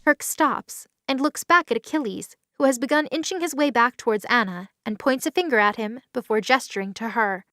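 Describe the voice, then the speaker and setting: A woman's voice: a little high, brisk, few pauses, sharp tone, no room sound, clean studio sound. A woman reading scripted third-person narration for an audio drama. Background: music under the voice.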